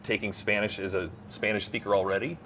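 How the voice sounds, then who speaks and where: Low-voiced male show host. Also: steady tone, fairly quick, bright, forceful tone, slight background noise. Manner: friendly, filler-heavy interviewing. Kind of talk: interview show.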